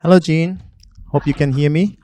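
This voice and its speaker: A man's voice: fairly high pitch, fairly even intonation, normal speed, warm tone, no room sound. A man moderating an interview, polite and a little hesitant, with frequent fillers. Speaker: interviewer, attorney.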